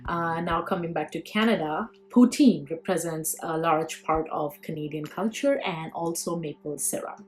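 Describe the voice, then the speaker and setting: Bengali-born woman, chatty, low voice. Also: normal speed, few pauses. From India, Bengal. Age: 30s. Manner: chatty, many fillers and restarts, reads questions aloud. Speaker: YouTuber. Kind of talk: casual tag video vlog.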